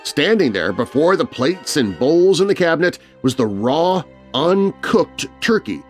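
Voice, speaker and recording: A man's voice: a little high, sing-song, unhurried, soft background music. A man narrating a scary story for children in a dramatic, scripted storytelling style.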